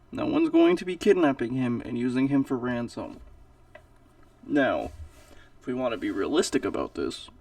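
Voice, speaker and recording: A man's voice: mid-range voice, vocal fry, a little mellow, no echo, recorded from a distance. A man hosting a reaction video, commenting casually in a skeptical, matter-of-fact way.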